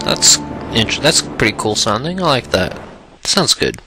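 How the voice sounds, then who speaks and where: A man's voice: mid-range voice, very animated, unhurried, low-quality recording. A man giving casual, reacting commentary as the host of a gaming video. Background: game music.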